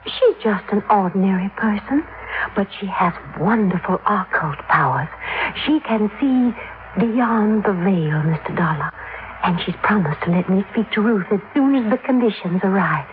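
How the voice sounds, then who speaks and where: An American actress playing a middle-aged, grieving, gentle mother who believes devoutly in spirits, genteel and earnest, in a 1950s radio drama. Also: medium pitch, lively intonation, normal speed, natural voice. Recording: tinny, noisy 1950s radio recording.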